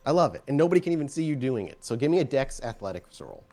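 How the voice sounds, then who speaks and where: A man improvising narration as the game master of a tabletop game in a conversational, animated way. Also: mid-range voice, fast talker.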